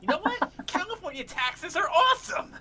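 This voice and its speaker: A woman's voice: very high-pitched, sing-song, moderate pace, pushed and bright. A woman bantering casually in a group gaming chat, with a mock-dramatic, joking manner. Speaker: player in a group gaming stream. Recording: noisy background hiss on voice chat.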